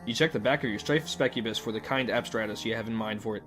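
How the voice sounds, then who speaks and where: A man reading scripted second-person story narration in a measured, dryly mock-formal way. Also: lowish voice, fairly bright tone, soft background music.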